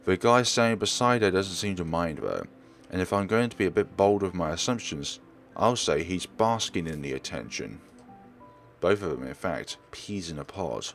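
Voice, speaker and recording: A man's voice: sits low, sing-song, moderate pace, creaky, soft background music. A man reading a visual novel aloud as a let's player, narrating expressively and sometimes stumbling and correcting himself.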